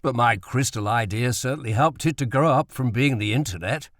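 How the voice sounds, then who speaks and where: A man voicing a gentle, modest father in an audiobook, measured and reassuring. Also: mid-range voice, dry recording, clean studio sound, close to an everyday voice.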